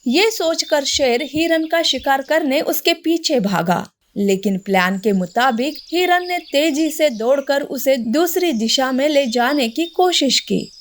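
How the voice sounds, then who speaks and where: Slow, high-voiced woman storyteller. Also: expressive. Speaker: narrator. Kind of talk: scripted children's story narration.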